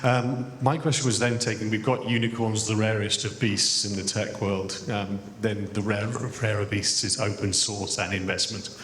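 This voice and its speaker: A man in the audience asking a question at a live event, speaking loudly and in a measured way. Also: lowish voice, steady tone. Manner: loud, measured. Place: large lecture hall. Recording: echoey hall with faint noise.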